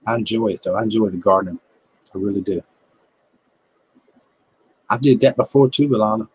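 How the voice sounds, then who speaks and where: A man's voice: fairly low pitch, brisk, darkish tone, breathy edge, rough recording. A man in his fifties with a Southern American accent, chatting casually on a livestream in a laid-back, joking, folksy way. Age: 50s. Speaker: livestream host, gardening channel. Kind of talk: casual chat reading viewer comments.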